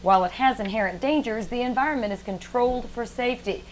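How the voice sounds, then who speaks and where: A woman's voice: on the high side, fast talker, a little hiss or hum. A woman reading scripted local news stories as a TV anchor. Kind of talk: scripted newscast narration.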